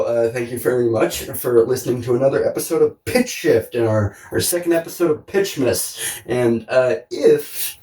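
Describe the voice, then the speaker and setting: A man's voice: mid-range voice, dark tone. A man co-hosting a podcast in casual chat, rambling and joking, with profanity and self-deprecating humor. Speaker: podcast co-host. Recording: echoey room, distant from the mic.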